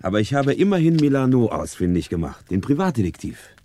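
An actor playing a police investigator who reports in short, factual phrases in a 1960s German radio crime drama. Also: male voice, medium pitch, big pitch swings, a little fast, dark tone, close to an everyday voice. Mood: matter-of-fact. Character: matter-of-fact male police investigator reporting his findings.

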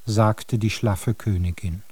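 A man's voice: on the low side, fairly even intonation, takes it slowly, a little mellow, husky, no room sound. A man narrating a radio drama in a scripted storybook style.

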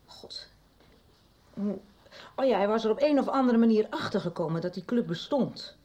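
A woman's voice: a little high, a little mellow. An actress in a 1990s radio play voicing a middle-aged woman who chattily confides her past, with little hesitations. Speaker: radio drama character. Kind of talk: 1990s radio drama acting.

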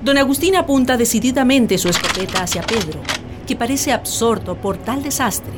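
A woman's voice: fairly high pitch, lively intonation, moderate pace, background noise. A woman reading scripted, dramatic narration for a radio play.

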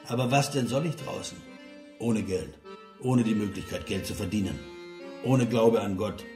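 An actor playing a cynical, melancholy, drinking doctor in a radio drama, sardonic and resigned, given to declaiming. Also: male voice, on the low side, moderate pace, roomy sound, over music, natural voice. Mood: bitter despair. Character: bitter, world-weary, drinking male doctor, cynical and melancholy.

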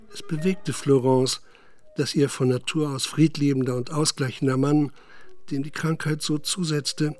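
A man narrating a radio play in a measured, matter-of-fact literary style. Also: on the high side, lightly acted. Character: sober male narrator recounting a village scandal.

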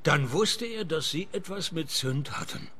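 An actor playing a sardonic private detective, dry and laconic, narrating his case in a gravelly voice in a radio play. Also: on the high side, expressive, a little slow, bright, forceful tone, full character voice.

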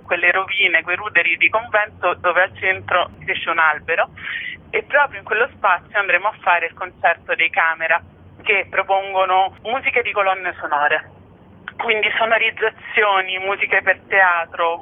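A woman speaking as an interview guest and festival curator, presenting events in a conversational way. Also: medium pitch. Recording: narrow, tinny phone line. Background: phone call to a radio show.

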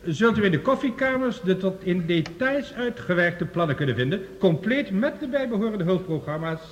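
An actor playing a formal scientist addressing an assembly in a radio drama. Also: male voice, high-pitched, animated, moderate pace. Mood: formal, explanatory. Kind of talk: Dutch radio drama acting, formal speech. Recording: dull, noisy, reverberant hall recording.

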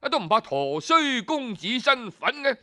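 A man's voice: very high voice, big pitch swings, moderate pace, bright, forceful tone, voice pushed up, full character voice. A man with a Cantonese accent voicing haughty, indignant palace guards in a folk tale, grumbling and brash. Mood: scornful.

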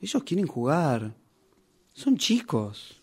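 A man's voice: fairly high pitch, very animated, slow pace, a little mellow, a little hiss or hum, exaggerated voice. An actor with a Rioplatense Argentine accent playing a defiant, indignant character from a novel who argues with his author, in a radio play rehearsal. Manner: argumentative, reproachful.

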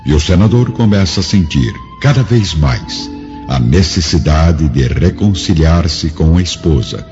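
A man with a very low, mellow voice narrates a radio drama. His formal, literary phrasing rises and falls widely, over soft music. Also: lively intonation, unhurried. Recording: dull lo-fi audio with music behind.

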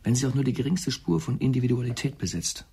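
Gravelly, husky man, coolly probing. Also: mid-range voice, quick pace, dark tone. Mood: probing, frank. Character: cool, probing male friend giving frank advice. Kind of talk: German radio drama acting.

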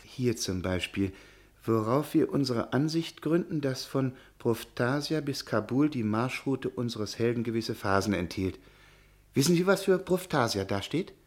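An actor playing a fussy, anxious professor in a radio play, timid and insistent. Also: male voice, medium pitch, animated, measured pace, darkish tone. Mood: fretful. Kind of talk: 1950s radio play acting.

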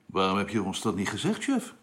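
An actor playing an excitable, well-meaning space crewman in a radio drama, speaking in a halting way. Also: lowish voice. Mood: questioning.

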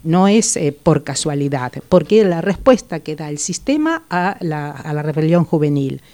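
An older woman speaks in a fairly low voice as an interview guest. She thinks aloud and pauses often. Her words are measured and full of small hesitations. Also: animated, warm tone. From Italy. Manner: reflective, hesitant with frequent fillers. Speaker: psychologist guest. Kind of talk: interview, personal recollection.

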